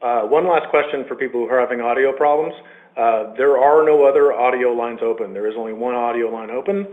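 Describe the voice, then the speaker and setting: A man moderating a webinar, making firm, measured announcements to the audience with some fillers. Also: mid-range voice. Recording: tinny phone-line audio.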